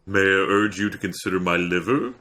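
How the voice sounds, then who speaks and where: A man voicing a large, contented bovine creature in a storybook reading, polite and gently urging like an eager waiter. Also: low-pitched, a little fast. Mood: persuasive.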